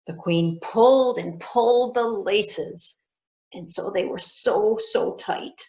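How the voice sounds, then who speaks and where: A woman librarian narrating a fairy tale for children in an expressive storytelling manner. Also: medium pitch, takes it slowly. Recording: dull lo-fi recording.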